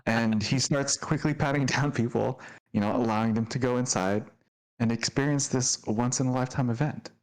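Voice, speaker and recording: A man's voice: medium pitch, steady tone, moderate pace, low-quality recording. A man acting as dungeon master, introducing the players and narrating the scene with upbeat energy. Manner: upbeat, laughing, descriptive narration.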